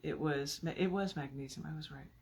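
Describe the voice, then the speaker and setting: A woman poet with a husky, gravelly voice, reading her work theatrically. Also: sits low, fairly quick, a little mellow, slight background noise. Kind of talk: dramatic poetry reading with casual asides.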